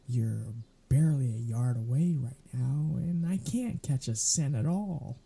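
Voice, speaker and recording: Man acting a husky, dark-voiced sardonic vampire. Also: mid-range voice, heavily acted. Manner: sarcastic, flippant, conversational. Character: sardonic, weary male vampire talking to a hunter. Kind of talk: ASMR audio roleplay acting.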